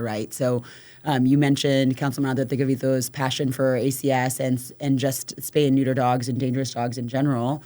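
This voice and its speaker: A woman's voice: extremely low pitch, darkish tone. Woman council member explaining with fillers. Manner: explanatory, thinks aloud with many fillers. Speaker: city council member guest. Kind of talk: casual panel conversation.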